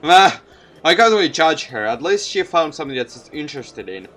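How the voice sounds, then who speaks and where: A man reading a visual novel aloud as a let's player, in an expressive narrating manner. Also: fairly high pitch, a little fast.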